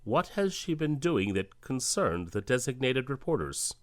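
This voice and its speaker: An actor playing a stern, calculating government director in an audio drama, clipped, deliberate and authoritative. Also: male voice, medium pitch, very animated, dry recording, clean studio sound. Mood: brisk, probing.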